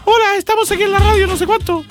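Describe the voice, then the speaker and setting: An eager Chilean kid begging for the microphone. The voice shoots far up into a thin, whistling squeak, naive and keen, with music behind it. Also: male voice, fairly even intonation, rapid-fire. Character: eager squeaky-voiced boy wanting a radio job.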